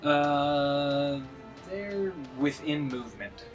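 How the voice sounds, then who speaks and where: A man running a tabletop game as game master, narrating casually and pausing as he goes. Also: fairly high pitch, expressive, rough recording. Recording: music playing behind the voice. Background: background music.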